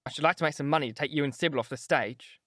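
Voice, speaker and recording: A man voicing a rough, blunt young man who grumbles his lines. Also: fairly high pitch, rapid-fire, a bit bright, no echo, clean studio sound.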